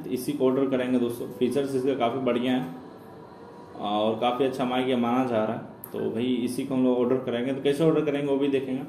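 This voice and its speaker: A man talks viewers through an online ordering tutorial step by step. His voice sits at a middle pitch and keeps a friendly, instructive tone. It was recorded in an echoey room with a faint noise bed behind it. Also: dark tone. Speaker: tutorial presenter.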